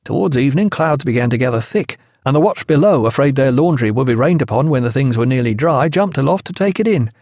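Man as earnest male naval officer, vivid teller of grim sea tales, very varied pitch, audiobook. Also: lively intonation, fast, hardly any pauses, darkish tone, no room sound, clean studio sound. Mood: grave, vivid.

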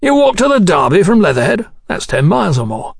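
Guy doing an eager engineer type for an audiobook, chummy n technical, pitched up, dry sound. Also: sing-song, brisk, gravelly, husky, dry recording, exaggerated voice. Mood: friendly. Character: keen, proud male British engineer explaining his machines.

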